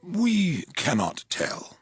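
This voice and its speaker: An actor playing a haughty, imperious temple elder in dramatized scripture, with a raspy, gravelly voice. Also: male voice, high voice, wildly swooping pitch, very slow, no room sound, heavily acted. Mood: evasive. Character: haughty male temple elder challenging authority.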